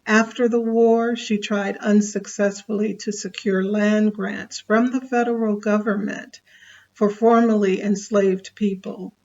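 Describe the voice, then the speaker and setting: Slow-reading woman storyteller. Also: medium pitch, flat delivery, a little mellow, lo-fi sound. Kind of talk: read-aloud biographical narration.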